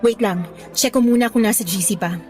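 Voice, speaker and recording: Woman acting a high-voiced earnest young schoolteacher. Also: fairly quick, soft background music, natural voice. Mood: uneasy, hesitant. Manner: expressive, switches between Tagalog and English. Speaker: radio drama actress. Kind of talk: Tagalog radio drama acting.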